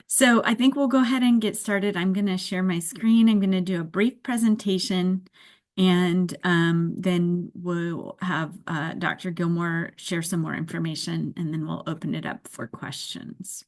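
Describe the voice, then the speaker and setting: A woman program director hosting an online open house, giving a measured, explanatory presentation to prospective students. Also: fairly low pitch, fairly even intonation. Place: video call.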